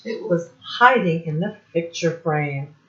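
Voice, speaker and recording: A woman teacher reading a picture book aloud to young children in deliberate, separated phrases, asking them questions as she goes. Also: lowish voice, expressive. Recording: dull, muffled lo-fi recording.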